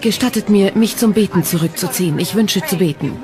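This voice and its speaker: A woman's voice: medium pitch, fairly quick, over a noisy crowd, close to an everyday voice. An actress playing a devout accused Puritan woman, earnestly protesting her innocence in a documentary re-enactment. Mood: pleading.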